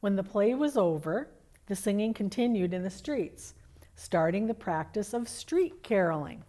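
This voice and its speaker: Woman as a mellow, cheerful Mrs. Claus. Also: medium pitch, animated, moderate pace, natural voice. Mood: chatty. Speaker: co-host and storyteller. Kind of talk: casual chat and reading aloud.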